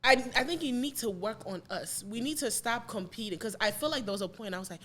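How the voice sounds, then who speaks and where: A woman's voice: fairly high pitch, expressive, fairly bright tone. Candid woman in her late twenties. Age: late 20s. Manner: candid, talks in quick bursts with restarts. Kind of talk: casual discussion.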